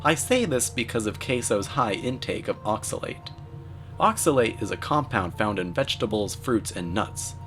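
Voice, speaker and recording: A man delivering scripted commentary in a deadpan, mock-serious manner. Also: lowish voice, moderate pace. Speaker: narrator, video commentator. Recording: voice-over with music underneath. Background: music.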